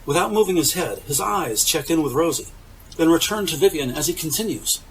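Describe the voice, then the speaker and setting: A man's voice: fairly high pitch, lively intonation, brisk, recorded from a distance, noisy background. A man reading scripted dramatic narration as the narrator of an audio drama. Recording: music bed behind.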